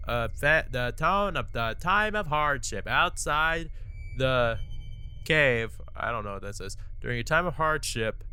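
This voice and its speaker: A man's voice: mid-range voice, bright, forceful tone. A man hosting a reaction video, commenting casually with a joking, reactive manner.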